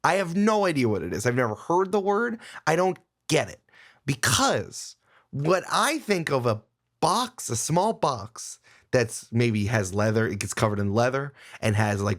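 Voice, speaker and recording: Animated man in podcast discussion. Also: medium pitch. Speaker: podcast guest. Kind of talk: casual opinionated podcast discussion.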